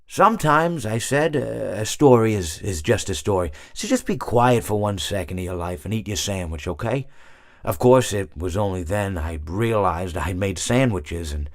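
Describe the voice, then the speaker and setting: Rambling, low-voiced animatronic hippo. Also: male voice, lively intonation, moderate pace, dry recording, studio quality. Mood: rambling, earnest. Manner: hesitant, meandering storyteller with repeated words. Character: rambling male animatronic hippo, oblivious, philosophical, long-winded. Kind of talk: video game character monologue.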